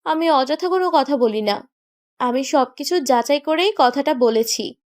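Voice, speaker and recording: A woman's voice: sits high, animated, a little slow, a little mellow. A woman playing a petulant, stubborn young bride in a romantic audio story, with a sulky, reproachful way of talking. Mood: hurt, sulky.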